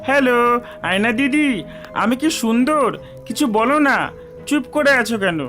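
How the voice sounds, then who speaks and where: A woman's voice: a little high, animated, unhurried, fairly bright tone, faint music behind. A woman voicing a mischievous, curious little village boy in a cartoon, with a playful, cheeky manner. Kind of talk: cartoon dialogue acting.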